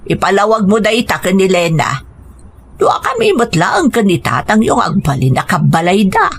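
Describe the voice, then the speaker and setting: A woman's voice: medium pitch, huge pitch swings, normal speed, vocal fry, husky, full character voice. A woman acting a persuasive, coaxing mother of a grown son in an Ilocano radio drama. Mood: reassuring.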